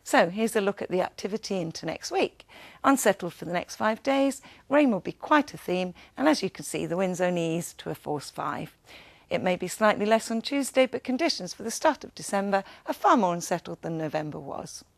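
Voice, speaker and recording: Woman reading weather, slightly creaky voice. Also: mid-range voice, expressive, a little fast, no room sound. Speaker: guest weather presenter. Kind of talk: scripted television weather forecast.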